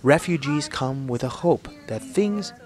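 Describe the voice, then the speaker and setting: A man's voice: fairly high pitch, expressive, unhurried. Man narrating a short documentary. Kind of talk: scripted narration.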